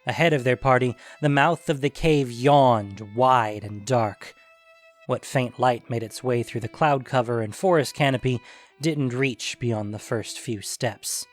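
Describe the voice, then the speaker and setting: A man's voice: mid-range voice, a little slow. A man reading scripted fantasy story narration as the narrator. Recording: music behind the voice.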